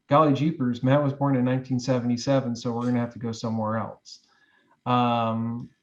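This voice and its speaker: A man's voice: medium pitch, lo-fi sound. A man in his forties, a guest giving advice in a reflective interview, talking in loose, rambling sentences with many fillers and restarts. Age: 40s.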